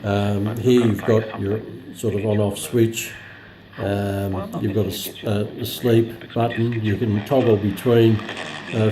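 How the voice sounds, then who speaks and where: A man presenting a product review in a casual, unscripted way, explaining features in a hesitant, halting manner. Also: fairly low pitch, low-quality recording.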